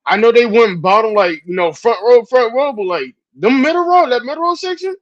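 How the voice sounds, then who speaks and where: A man chatting as a podcast guest, laid-back and repeating himself, with a hoarse edge. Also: very high voice, lively intonation, brisk, dry recording. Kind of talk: casual chat. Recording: dry, dull lo-fi sound.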